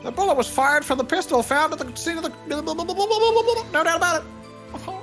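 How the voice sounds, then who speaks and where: A woman's voice: very high-pitched, unhurried, a bit bright, soft background music, full character voice. A woman voicing a blustery, folksy male police detective in a live fan dub of a video game. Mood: blustery, confident. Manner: folksy, emphatic, ends phrases with pal or sir.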